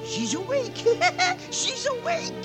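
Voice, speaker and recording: An excitable little cartoon dwarf, childlike and comic. The voice is extremely high and leaps all over, with loud music behind it in an old radio play. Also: male voice, slow, heavily acted. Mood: excited, joyful. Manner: stammering, exclaiming. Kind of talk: 1940s radio cartoon-style acting.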